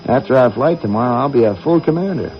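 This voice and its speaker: An American actor playing a brisk, chummy, coaxing astronaut co-pilot in a radio drama. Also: male voice, medium pitch, lively intonation, soft background music, natural voice. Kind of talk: 1980s radio drama acting. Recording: dull lo-fi vintage radio broadcast.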